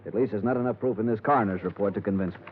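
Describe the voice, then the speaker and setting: A man's voice: fairly low pitch, racing, lightly acted. A man acting a worn-out, stubborn and suspicious insurance boss in a 1950s radio drama, grumbling and insistent. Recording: tinny, band-limited 1950s radio recording.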